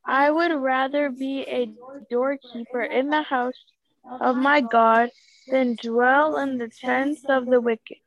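A woman reads scripture aloud in a careful, measured way during a Bible study. Her voice sits high and moves very slowly. The call audio is muffled, dull and dry. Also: fairly even intonation. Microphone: video call.

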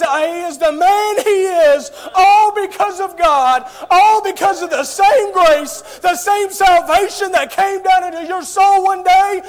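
A man preaching an impassioned revival sermon, crying out with frequent "oh" interjections. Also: very high voice.

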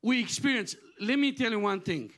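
A man's voice: very high voice, expressive, moderate pace, fairly bright tone. A middle-aged man from Romania preaching a sermon in an earnest, repetitive cadence, often restarting his phrases.

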